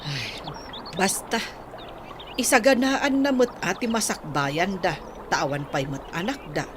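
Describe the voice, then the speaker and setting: A woman's voice: fairly low pitch, expressive, a little slow, noisy background. A woman playing an evasive, exasperated married mother in an audio drama.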